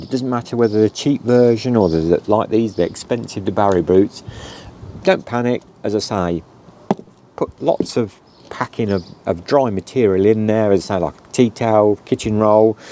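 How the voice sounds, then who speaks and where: A man's voice: fairly low pitch, mellow, slight background noise. A middle-aged British man with a stuffed-up nose from hay fever, giving a rambling casual how-to talk with many "um"s, recorded outdoors. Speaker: vlogger.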